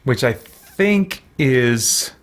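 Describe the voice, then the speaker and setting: A man's voice: medium pitch, animated, drawn-out words. A man giving casual game commentary, thinking aloud to himself in a musing, tentative way. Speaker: gamer hosting a let's play.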